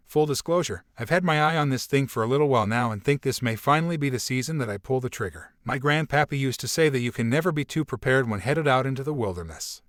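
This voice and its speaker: A man's voice: medium pitch, a bit bright, no room sound, clean studio sound. A man reading a scripted product review as a narrator.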